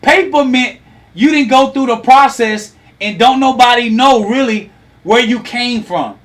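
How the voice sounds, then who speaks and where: A man in his thirties hosting a lively talk, teasing and quizzing his online audience. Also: extremely high pitch, measured pace. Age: 30s. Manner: animated, teasing, asks the audience questions. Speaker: host.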